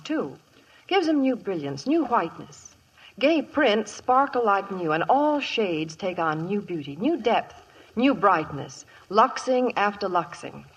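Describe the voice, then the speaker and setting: Lively, husky vintage radio spokeswoman. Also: medium pitch, takes it slowly. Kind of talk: 1950s scripted ad read. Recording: muffled vintage radio recording.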